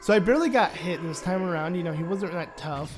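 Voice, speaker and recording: A man with a hoarse, raspy voice from a sore throat, rambling casually through gameplay commentary with lots of fillers. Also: sits high, expressive, fast talker, slight background noise. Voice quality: raspy, voice cracking. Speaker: Let's Play commentator.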